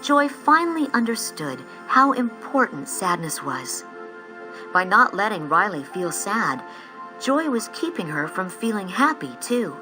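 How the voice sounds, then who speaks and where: A woman reading scripted storybook narration in an expressive, measured storytelling style. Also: medium pitch. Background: music.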